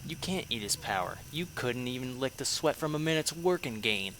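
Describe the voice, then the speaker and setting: A man's voice: mid-range voice, lively intonation, moderate pace, fairly bright tone, a little hiss or hum, low-quality recording. A man playing a sardonic, defiant soldier in a fan audio drama, with a dry, terse, sarcastic delivery. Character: sardonic, defiant male soldier, a shaman's son.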